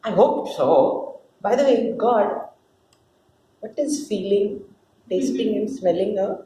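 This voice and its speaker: A woman's voice: medium pitch, animated, slow pace, mellow. A woman voicing a naive, childlike, newly created man, hesitant and eager to please, in a dramatic play reading. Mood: unsure. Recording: roomy, distant mic, faint noise.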